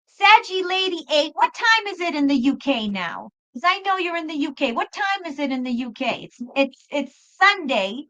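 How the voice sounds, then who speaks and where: A woman with a high-pitched voice reads tarot for a live audience online. She talks casually and emphatically, circling back over her phrases. The sound is somewhat dull and lo-fi. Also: big pitch swings, normal speed, hardly any pauses, a bit bright. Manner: emphatic, chatty, repeats phrases. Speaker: host and tarot reader. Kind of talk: live spiritual tarot reading, casual chat with viewers. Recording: dull lo-fi live stream audio.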